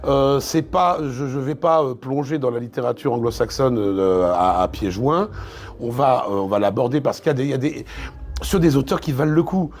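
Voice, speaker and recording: A man's voice: medium pitch, normal speed, a little mellow. A man talking casually as an interview guest, opinionated and colloquial, with emphatic repetitions. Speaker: interview guest, literature video creator.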